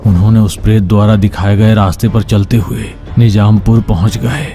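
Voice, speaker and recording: A man's voice: on the low side, steady tone, normal speed, warm tone, faint music behind. A man reading scripted story narration for a cartoon tale.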